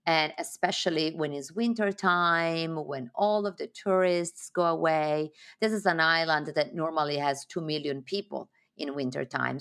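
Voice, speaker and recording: Low-voiced Italian woman, enthusiastic. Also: a bit bright. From Sardinia, Italy. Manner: enthusiastic, expansive storytelling. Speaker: podcast guest. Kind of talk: interview conversation.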